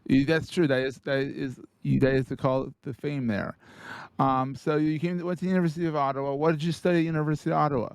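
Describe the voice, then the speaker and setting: A man's voice: a little high, little pitch movement, quick pace, creaky, smooth, no room sound. A man hosting a podcast, chatting casually and upbeat with his guest. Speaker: podcast host. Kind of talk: casual interview chat.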